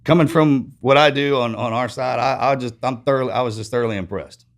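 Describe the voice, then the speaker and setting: A man with a Texas accent hosts a casual podcast chat. He rambles, stops and restarts his sentences, and his accent gets heavier as he warms up. His voice sits at an ordinary middle pitch for a man. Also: a little fast. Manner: gets louder and stronger in accent when excited. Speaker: podcast host.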